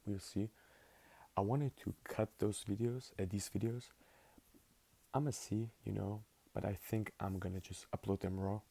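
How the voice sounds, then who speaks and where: Deep gravelly teenage man, laid-back. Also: fairly even intonation, normal speed, warm tone. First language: not English. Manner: rambling, filler-heavy, laid-back. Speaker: vlogger. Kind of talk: casual spoken journaling monologue.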